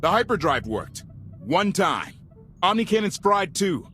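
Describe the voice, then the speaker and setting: An actor playing an excitable, quick-witted starship pilot in a video game, exclaiming and wry. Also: male voice, high-pitched, soft background music, heavily acted. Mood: exasperated. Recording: game audio over vehicle noise.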